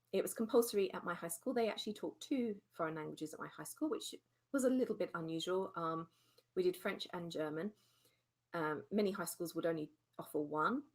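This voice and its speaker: A woman from England chatting casually to her webcam in a home vlog, rambling a little with lots of ums. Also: fairly low pitch. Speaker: vlogger. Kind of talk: casual chat. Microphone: desktop mic with webcam.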